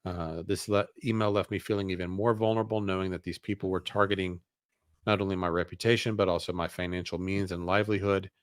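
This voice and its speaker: A man with a low voice hosts a talk show, reading statements aloud and breaking into casual commentary. His asides come out hesitant, and the sound is dry and close. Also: fairly even intonation, quick pace, dry recording. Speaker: show host.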